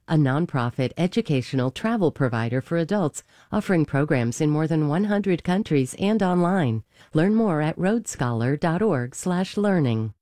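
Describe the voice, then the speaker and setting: A woman's voice: sits low, mellow, dry recording, studio quality. A woman reading a scripted funding credit as a radio announcer.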